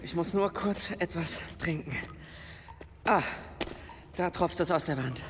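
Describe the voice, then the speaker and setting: A man's voice: a little high, a little slow, lightly acted. An actor playing a complaining, reckless boy in a radio play, sometimes straining with effort. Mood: grumbling. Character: grumbling, reckless, thirsty boy from the seaside. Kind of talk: dramatic radio play acting. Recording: reverberant cave effect, noisy water sounds.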